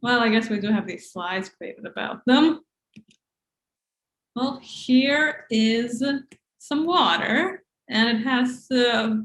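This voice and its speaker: A woman in her thirties, a former postdoc, co-hosting a science lesson with dry, deadpan humor. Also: a little high. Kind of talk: casual educational chat. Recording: echoey room.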